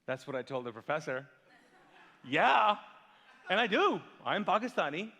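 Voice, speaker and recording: A male pastor raised in Kuwait whose first language is Urdu, preaching with lively storytelling and rhetorical questions. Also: sits high, moderate pace, in a large hall. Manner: animated storytelling, big swings in loudness, rhetorical questions. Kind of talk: sermon. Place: large church hall.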